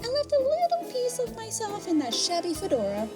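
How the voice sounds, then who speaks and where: A woman's voice: very high voice, huge pitch swings, fairly quick, exaggerated voice. A woman playing a gloating, theatrical rabbit trickster villainess in an audio drama, mocking and hammy like a stage host. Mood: gloating, smug. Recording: music under the voice.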